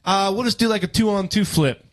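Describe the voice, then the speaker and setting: A man's voice: high-pitched, normal speed. Laid-back slangy man co-host. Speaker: podcast co-host. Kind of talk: casual chat.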